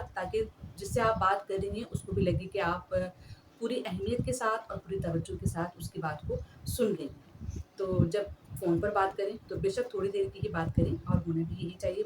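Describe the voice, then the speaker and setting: Woman, earnest advice talk, distant mic, clear background noise. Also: mid-range voice. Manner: earnest, addresses listeners politely with fillers. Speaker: host.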